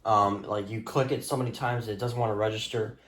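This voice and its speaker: A man's voice: on the low side, steady tone, fairly quick, a bit bright. A man giving a casual, rambling show-and-tell as a hobbyist, offhand and hesitant, sometimes muttering to himself. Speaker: hobbyist showing a radio collection.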